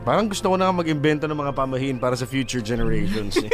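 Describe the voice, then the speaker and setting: A man's voice: medium pitch, lively intonation, quick pace, over music. A man with a Filipino accent co-hosting a radio show in casual, joking Taglish chat. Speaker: radio co-host.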